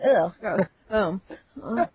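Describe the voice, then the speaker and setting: A woman's voice: medium pitch, takes it slowly, slightly rough, dry recording. A woman co-hosting a casual gaming podcast, giggly and rambling with lots of "like". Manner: giggly, rambling with many likes. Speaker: podcast co-host. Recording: dull lo-fi dry sound.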